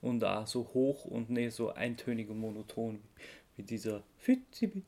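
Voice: mid-range voice, expressive, moderate pace, dark tone. A podcast co-host, explaining things informally in casual conversation.

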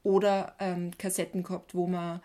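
A woman's voice: on the low side, level tone, moderate pace, dark tone. A woman with an Austrian accent chatting casually as a podcast co-host.

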